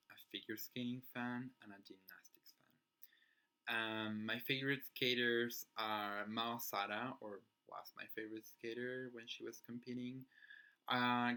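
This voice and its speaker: A young Chilean man in his twenties speaks English as a second language, and his Spanish accent colors the words. His voice sits a bit low yet comes across bright and forceful. He talks in a relaxed vlog manner, often stopping on fillers and starting his sentences again. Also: clear, resonant tone. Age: 20s. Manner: chatty, many fillers and restarts, occasional laughs. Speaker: figure skating vlogger. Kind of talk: casual channel introduction.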